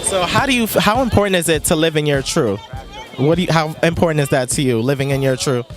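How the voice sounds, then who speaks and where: A man's voice: fairly high pitch, animated, fast talker, soft background music. A man working as a red carpet correspondent, interviewing guests with upbeat, effusive introductions.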